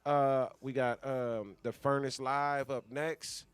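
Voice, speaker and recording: Smooth-voiced man chatting on the radio. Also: medium pitch, level tone, slow pace, dry recording. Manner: emphatic, repeats phrases, peppers talk with street slang. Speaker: radio show host. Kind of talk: casual radio chat with heavy slang.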